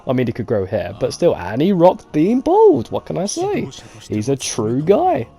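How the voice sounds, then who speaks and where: A woman's voice: sits low, wildly swooping pitch, normal speed, dark tone, husky. A woman gamer giving casual, joking commentary while playing, swearing freely and laughing often. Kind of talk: casual let's-play commentary.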